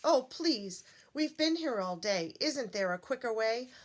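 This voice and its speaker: A woman's voice: fairly high pitch, lively intonation, measured pace. A woman voicing puzzled, eager storybook townspeople with raised, exclaiming questions.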